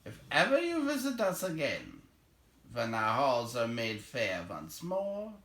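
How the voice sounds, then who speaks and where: A man voicing a solemn, hospitable dwarf from a storybook in a halting, formal manner. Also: mid-range voice, wildly swooping pitch, fairly bright tone, reverberant, full character voice. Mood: moved, solemn. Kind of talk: storybook character voice.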